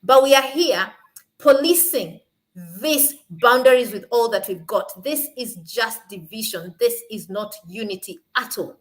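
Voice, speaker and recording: A young woman from Kenya with a Kenyan accent, speaking at a panel in an emphatic way that rises to forceful peaks on her key points. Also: a little high, unhurried. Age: young adult. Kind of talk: impassioned panel talk.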